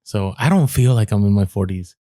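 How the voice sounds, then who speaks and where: A man in his forties co-hosting a podcast, chatting casually, animated and laughing, often restarting his sentences. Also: on the low side, brisk, no echo, clean studio sound. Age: 40s. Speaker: podcast co-host. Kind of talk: casual chat.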